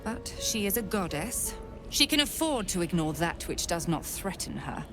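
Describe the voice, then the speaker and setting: An actress playing an imperious, zealous female cult leader in a video game, speaking in a grandiose, deliberate and commanding way. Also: fairly low pitch, sing-song, music in the background. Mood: zealous, resolute. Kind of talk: dramatic video game voice acting.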